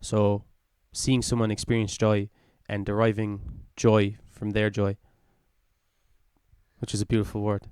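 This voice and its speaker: A man in his twenties rambles earnestly through his ideas and leans on lots of filler words. His voice is smooth and a touch low, and the sound is dry and close. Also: monotone, unhurried, a little mellow, no echo. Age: 20s. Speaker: podcast co-host. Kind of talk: casual chat.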